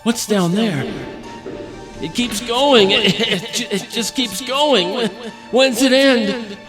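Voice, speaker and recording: A man's voice: very high voice, slow. An actor playing a young male casino robber in an audio drama, with tough street talk. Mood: breathless panic. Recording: dramatic music behind. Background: music.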